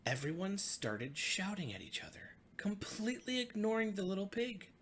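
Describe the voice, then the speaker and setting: A woman reading a children's bedtime story with expressive, dramatic, deliberate phrasing. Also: medium pitch, slight background noise. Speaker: storybook reader.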